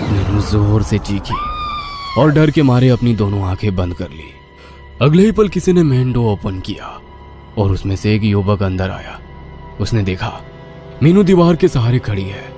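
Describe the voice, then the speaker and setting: Male storyteller, suspenseful and theatrical, highly animated pitch swings, prominent music bed. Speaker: narrator. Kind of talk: dramatic scripted horror story narration in Hindi.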